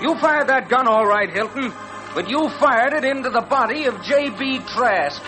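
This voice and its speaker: An actor playing a stern, insistent frontier prosecutor, emphatic and accusing, in an old radio western. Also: male voice, extremely high pitch, animated, normal speed, over music, rough recording. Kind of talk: old-time radio courtroom acting.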